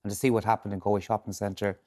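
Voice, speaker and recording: An Irish man speaks quickly with a fairly low voice. He weighs events analytically and often restarts his sentences as a political journalist on a radio panel. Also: flat delivery, a little mellow. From Ireland. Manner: measured, analytical, with frequent restarts.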